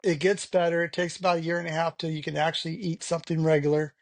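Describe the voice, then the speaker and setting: A man's voice: fairly high pitch, flat delivery, fast talker, gravelly, no room sound. A man talking frankly as an interview guest, rambling with lots of "you know" fillers. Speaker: podcast guest. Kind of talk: candid conversational interview.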